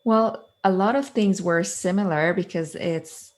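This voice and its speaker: A woman's voice: fairly low pitch, unhurried. A woman in her thirties from Quebec with a Quebec French accent, a native French speaker, earnestly telling her story as an interview guest. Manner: earnest, chains thoughts with "so" and "like". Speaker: guest, author and coach. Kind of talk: conversational interview.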